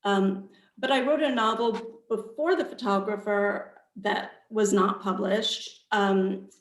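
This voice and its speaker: A woman's voice: medium pitch, fairly even intonation, slow pace. A woman speaking as a guest author in a casual, conversational way, with a roomy echo on her voice. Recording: echoey, roomy sound.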